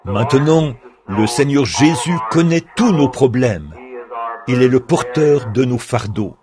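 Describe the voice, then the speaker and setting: A man reading a translated sermon aloud in an earnest, measured preaching manner. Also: medium pitch, animated, crowd noise. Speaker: French reader of a translated sermon.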